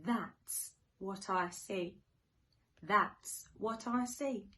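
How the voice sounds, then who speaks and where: A woman teacher reading a picture book to young children in an expressive sing-song way, with questions, gasps and hushed asides. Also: medium pitch, drawn-out words. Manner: animated, questioning, dramatic gasps and hushed near-whispers.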